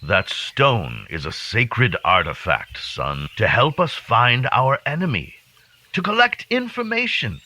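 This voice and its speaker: An actor playing a stern, principled senior member of a secret society in an audio drama, formal and reproving. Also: male voice, medium pitch, very animated, takes it slowly, sharp tone, full character voice.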